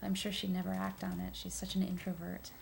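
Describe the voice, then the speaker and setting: A woman's mid-pitched voice with lots of fry plays a candid friend in a naturalistic film drama. She testifies casually and a little hesitantly, with clear background noise. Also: completely flat delivery, fairly quick. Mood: candid, defensive. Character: candid woman giving testimony about her close friend.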